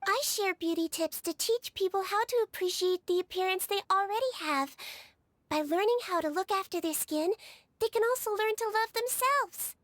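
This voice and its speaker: A woman voicing a small, sweet young female melusine nurse in a video game, with a childlike voice and a gentle, earnest, reassuring manner. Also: very high-pitched, moderate pace, dry recording. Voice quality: childlike, girlish. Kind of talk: video game character voice lines. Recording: studio voice over game music.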